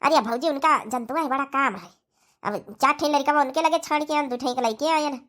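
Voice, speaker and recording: A woman's voice: high-pitched, brisk, fairly bright tone. A woman voicing a chatty married village daughter with an Awadhi accent in a comedy cartoon.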